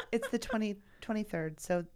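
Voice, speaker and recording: A woman in her forties with a mid-pitched voice, co-hosting a podcast. She chats casually and tells stories with animation, acting out other people's lines as she goes. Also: normal speed, clear, resonant tone. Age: 40s. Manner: animated storyteller, acts out voices. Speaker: podcast co-host. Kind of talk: casual chat.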